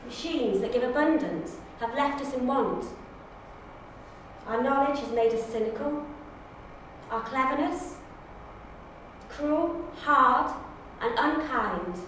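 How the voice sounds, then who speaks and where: A woman's voice: sits high, pitched above the performer's own voice. A woman auditioning as a loyal, defiant Time Lord, with earnest theatrical delivery. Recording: distant, very echoey room, faint noise.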